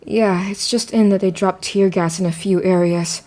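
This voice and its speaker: A woman playing a sober, realistic friend who explains things gravely in an amateur radio drama. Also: mid-range voice, steady tone, normal speed, mellow, close to an everyday voice. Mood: grave, resigned.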